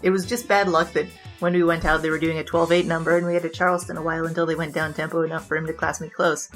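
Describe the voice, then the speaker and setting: A woman's voice: low voice, level tone, fast talker, lightly acted. A woman playing a glib, flirtatious bandit who confesses and argues in a comedic noir audio drama. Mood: brisk storytelling. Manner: glib, digressive, argumentative. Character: brash, chatty, flirtatious female bandit king confessing her crimes. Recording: music bed under the voice.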